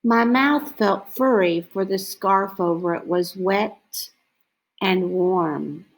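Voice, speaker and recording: Woman storybook reader, very slow and deliberate, medium pitch, pausing often between phrases. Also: poor audio. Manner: unhurried, deliberate phrasing with frequent pauses. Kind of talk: scripted children's story read-aloud.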